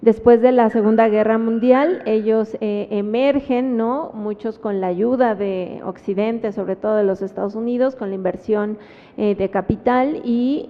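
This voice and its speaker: A Mexican woman gives an academic talk at a panel, weighing her words with frequent pauses and tag questions. Her voice sits at a middle pitch. It comes through a thin, phone-like channel with a long reverb tail from a large hall. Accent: Mexican Spanish. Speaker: book presentation panelist. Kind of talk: academic talk at a book presentation.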